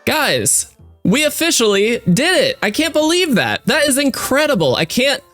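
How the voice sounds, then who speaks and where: A man's voice: extremely high pitch, huge pitch swings, moderate pace, fairly bright tone, faint music behind. A man with a stuffy, congested voice giving chatty, upbeat let's-play commentary as a gaming creator, with lots of fillers. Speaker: gaming YouTuber.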